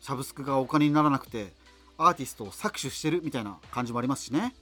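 An actor playing a nostalgic, wry radio host from the future, rambling conversationally through a monologue. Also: male voice, mid-range voice, expressive, fast talker, bright, forceful tone, slightly rough. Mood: musing, wry. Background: music.

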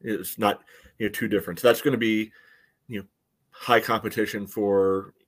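A man's voice: on the low side, flat delivery, moderate pace, breathy edge. A man co-hosting a casual sports podcast, analysing in a hesitant way with lots of verbal padding. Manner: hesitant, filler-heavy phrasing with frequent "you know". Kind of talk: casual soccer analysis chat.

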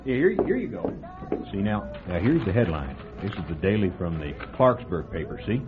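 A folksy small-town diner keeper in a vintage radio play, gossiping with relish and a lively, swooping voice. The sound is thin and phone-like, with faint music under it. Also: male voice, fairly low pitch, normal speed. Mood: gossipy. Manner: folksy, relishing a gruesome story. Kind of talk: old-time radio drama acting.

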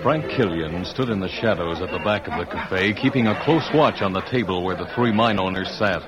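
A man's voice: low voice, lively intonation, fairly quick, over a noisy crowd. A man narrating an old-time radio western in a formal, dramatic, scripted style. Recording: dull old radio recording, music behind.

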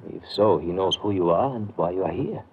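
Deep, anxious doctor. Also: male voice, lively intonation, a little fast. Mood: conspiratorial. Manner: hesitant, tags sentences with eh and huh. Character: cautious European sanatorium doctor, secret conspirator, anxious. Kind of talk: 1950s radio drama acting. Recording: muffled, noisy old radio recording.

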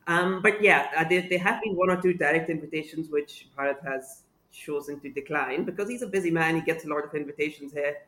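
A man's voice: a little high, fairly quick, few pauses, bright, forceful tone, low-quality recording. A man on a podcast as a guest sports writer, speaking articulately with some restarts and self-deprecating humor. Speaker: cricket sub-editor guest. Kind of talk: casual podcast chat.

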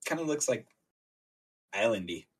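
Husky low-voiced male co-host. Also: sing-song, normal speed. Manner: jokey, reads out stats with many fillers. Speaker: podcast co-host and intern. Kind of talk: casual podcast chat.

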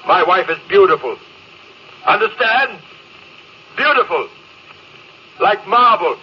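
Proud, bitter space captain, high-pitched voice. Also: male voice, sing-song, slow pace, full character voice. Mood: bitter, scornful. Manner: tense, dramatic, theatrical. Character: proud, bitter, lonely male space captain, husband and father. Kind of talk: old-time radio drama acting. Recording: dull, hissy old radio recording.

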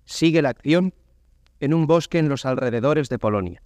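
A man's voice: mid-range voice, animated, moderate pace, a little mellow, no echo, clean studio sound. A man giving scripted scene-setting narration as the narrator of a play.

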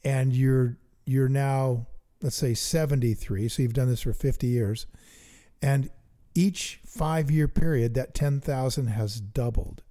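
A middle-aged man co-hosting a chat about investing, eager and thinking out loud as he works sums aloud. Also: medium pitch, steady tone, slow pace. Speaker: investing podcast co-host. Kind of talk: casual teaching chat.

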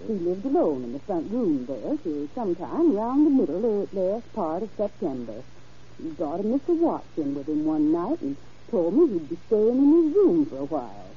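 A woman's voice: lowish voice, big pitch swings, normal speed. A helpful working woman in an old radio crime drama. Her voice swings up and down as she hesitates and searches her memory. The recording is dull and noisy. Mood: hesitant, helpful. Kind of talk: 1940s-50s radio crime drama acting.

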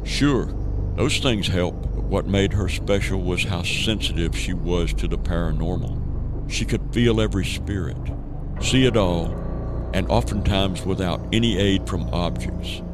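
A man's voice: deep voice, measured pace, noisy background. A man narrating a horror audio drama in a dramatic, scripted storytelling style.

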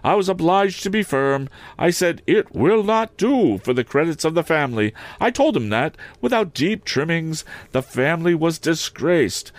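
Shrill, affected older gentlewoman voiced by a male narrator; lively, complaining lilt. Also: no room sound. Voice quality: high, shrill, drawn-out. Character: fussy self-pitying older lady, shrill and prim. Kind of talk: audiobook character reading.

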